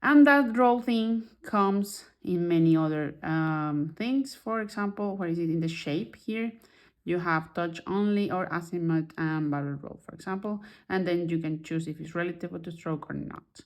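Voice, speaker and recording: A woman who is not a native English speaker, chatting casually through a digital art software tutorial and often restarting as she searches for words. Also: on the low side, normal speed. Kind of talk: casual screen-recorded tutorial.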